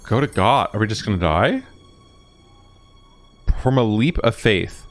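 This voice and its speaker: A male gaming host reacts in a chatty, joking way, muttering asides as he goes. His pitch moves around a lot, and music from the game plays clearly behind him. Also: animated, measured pace. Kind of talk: casual reaction commentary.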